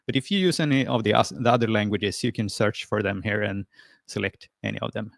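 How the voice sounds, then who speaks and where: Guy w doing a software walkthrough on a video call, kinda gravelly, lots of uhs, friendly. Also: lowish voice, a little fast, no room sound, studio quality. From Sweden. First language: Swedish. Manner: hesitant, many fillers and restarts; friendly and encouraging. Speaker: presenter. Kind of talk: screen-share software tutorial.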